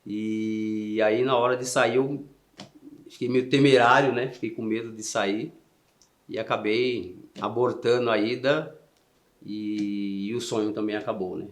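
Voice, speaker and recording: A man in his fifties with a São Paulo accent answers interview questions in a soft-spoken, halting way. His voice sits somewhat low, and he often trails off with "né". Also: fairly even intonation. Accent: São Paulo Portuguese. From São Paulo, Brazil. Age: 50s. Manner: soft-spoken and halting, with frequent "né" tags and restarts. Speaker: interview guest, Paralympic swimmer.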